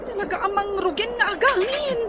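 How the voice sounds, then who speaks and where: A woman's voice: very high-pitched, normal speed, a loud crowd, heavy background noise. A woman with an Ilocano accent voicing a whiny, frightened young child in a radio drama. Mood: anxious, whining. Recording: music laid under the voice.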